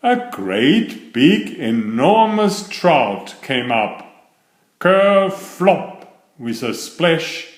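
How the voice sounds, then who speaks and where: A man reading a children's story aloud in an expressive, deliberate, dramatic manner, stretching key words. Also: a little high. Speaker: children's story narrator and author. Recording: roomy, echoey sound.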